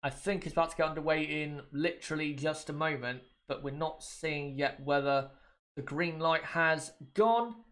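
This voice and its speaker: A man's voice: fairly high pitch, normal speed, fairly bright tone. A man with a bit of a cold, chatting casually to viewers as a livestream host and often repeating his points. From United Kingdom. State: has a cold. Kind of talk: casual watchalong commentary.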